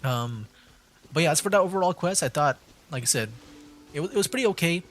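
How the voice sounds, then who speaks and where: A man's voice: on the high side, expressive, a little fast, a bit bright. A man giving casual livestream game commentary, mildly meandering as he thinks aloud. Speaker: streamer, VTuber.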